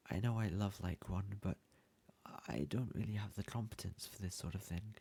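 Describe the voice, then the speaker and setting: Man acting as failing yandere schoolboy, lovesick, low-pitched, creaky, hesitant, pleading, ASMR roleplay. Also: warm tone, full character voice. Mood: sheepish. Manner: hesitant, stammering, wheedling. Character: bumbling, pleading, lovesick young male yandere student. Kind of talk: ASMR roleplay, addressing the listener.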